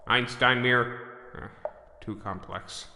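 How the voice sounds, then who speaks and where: A man's voice: mid-range voice, steady tone, slow. A man playing a grandiose, petulant, gloating supervillain in a comic audio drama. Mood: scheming, grumbling. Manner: melodramatic, gloating, pompous. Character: theatrical, grandiose, petulant male cartoon supervillain scheming monsters. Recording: echoey reverberant room with background noise.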